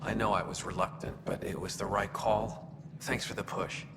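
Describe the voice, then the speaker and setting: Gravelly, earnest alien soldier. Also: male voice, lowish voice, steady tone, normal speed, a bit bright, in a large hall, a little hiss or hum. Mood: guarded, sincere. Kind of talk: video game voice acting.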